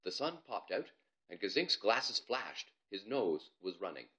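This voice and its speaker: A man's voice: fairly low pitch, very animated, measured pace, pushed and bright. A man, an author, reading his children's story aloud in a theatrical, comic storytelling manner. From Canada.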